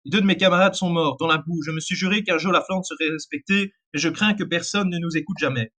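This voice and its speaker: A man's voice: on the high side, steady tone, fast, sharp tone. A man reading as a haunted young soldier. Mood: somber. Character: haunted Flemish soldier in the trenches.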